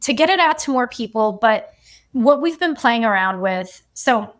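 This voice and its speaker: A woman human design coach talking as a podcast guest, chatty and relaxed, and she often says "like.". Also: on the high side, moderate pace. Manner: chatty, fills her speech with "like". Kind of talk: casual podcast conversation.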